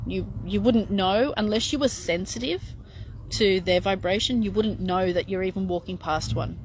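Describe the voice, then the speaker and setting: A woman's voice: mid-range voice, moderate pace, a little hiss or hum, low-quality recording. A middle-aged woman chatting frankly as she answers viewer questions, with lots of fillers and restarts. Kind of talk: casual live Q&A.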